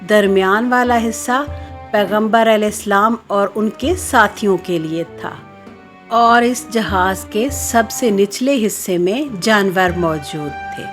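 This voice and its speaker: A woman narrating a scripted children's story in a measured, expository way. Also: medium pitch. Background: background music.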